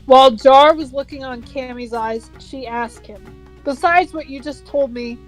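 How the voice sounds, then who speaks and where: A woman's voice: high-pitched, measured pace, faint music behind, low-quality recording. A young woman reading a story aloud with casual, sweary, mock-aggrieved commentary. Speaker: co-host of a dramatic reading.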